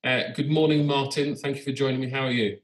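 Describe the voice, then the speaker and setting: A man's voice: medium pitch, steady tone, quick pace. Hesitant male interviewer, echoey room. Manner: hesitant, frequent fillers and false starts. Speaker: podcast host, interviewer. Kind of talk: casual interview.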